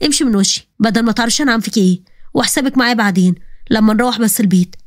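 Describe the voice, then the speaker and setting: A woman's voice: on the high side, racing, no echo, clean studio sound, natural voice. A woman voicing a stern middle-aged mother who threatens her daughter, speaking with an Egyptian accent.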